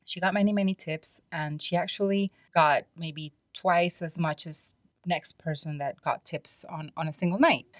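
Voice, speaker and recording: A woman's voice: sits low, steady tone, normal speed, hardly any pauses, dry recording, studio quality. A woman hosting a podcast solo, talking in a conversational, explanatory and opinionated way. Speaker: podcast host.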